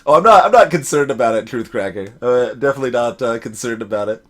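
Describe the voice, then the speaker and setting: Guy streamer chatting casually over game music, lively up n down voice. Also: mid-range voice, fast talker. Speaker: let's play streamer.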